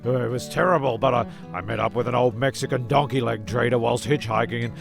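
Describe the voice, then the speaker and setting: Comedy audio drama: male actor as defensive rambling storyteller, heavy creak, stammering and flustered, guitar music underneath. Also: mid-range voice, fast talker. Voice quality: gravelly, creaky. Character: defensive, rambling man spinning tall tales, breaking into song.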